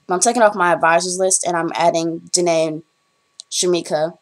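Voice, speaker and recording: Young woman from the bahamas rambling on her vlog, kinda low voice, lots of ums. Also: steady tone, a little fast. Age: young adult. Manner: chatty, rambling storytelling with frequent ums. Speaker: vlogger, college student. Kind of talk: casual chat to camera.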